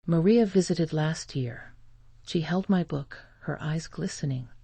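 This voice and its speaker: This is a narrator reading a first-person story aloud in a measured, careful way. The tone is dark and mellow, with a husky grain and a frequent creak at the edges of the words. The delivery is that of a scripted reader for learners. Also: medium pitch, no room sound.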